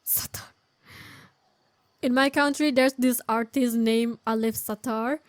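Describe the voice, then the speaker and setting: A woman's voice: fairly high pitch, steady tone, unhurried, dry recording. A woman doing casual, chatty gameplay commentary in informal non-native English. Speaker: game streamer. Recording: game music behind the voice. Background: video game music.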